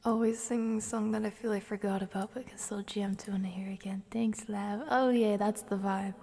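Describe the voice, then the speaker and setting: A woman live streamer with a soft, gentle manner, drifting between casual ASMR chat and soft singing. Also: mid-range voice, level tone, normal speed, gravelly.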